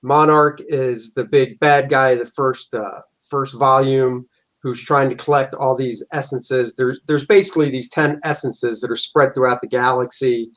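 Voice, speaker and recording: A man's voice: mid-range voice, steady tone, normal speed, a bit bright, lo-fi sound. A middle-aged man, a comic book artist, answering interview questions in an easygoing way and explaining at length.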